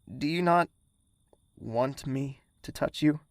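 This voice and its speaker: A young royal prince in an audio drama. His voice is medium-pitched with plenty of creak, measured and formal in delivery, and recorded very dry. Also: no echo. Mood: tender, teasing. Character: young prince, tender in private, commanding with subordinates.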